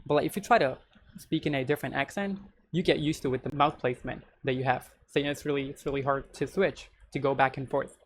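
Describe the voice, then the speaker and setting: A young Indian man talks loosely and rambles, looping back to the same stock phrases. His voice sits at an everyday middle pitch. A faint hiss of noise runs under the recording. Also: fairly even intonation, fast. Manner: rambling, repeats "so the thing is". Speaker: YouTuber reacting to a video. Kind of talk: casual reaction commentary.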